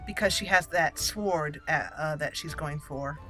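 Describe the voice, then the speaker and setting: A woman's voice: deep voice, measured pace, pushed and bright, soft background music. A woman hosting a game playthrough, chatting casually and playfully as she thinks aloud. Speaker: gaming channel host.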